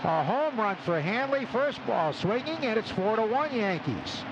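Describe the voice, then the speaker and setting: A man doing live radio baseball play-by-play, calling the action in clipped phrases between pauses. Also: high voice, big pitch swings, lo-fi sound. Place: open broadcast booth at outdoor ballpark. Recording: noisy outdoor ballpark broadcast. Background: loud stadium ambience.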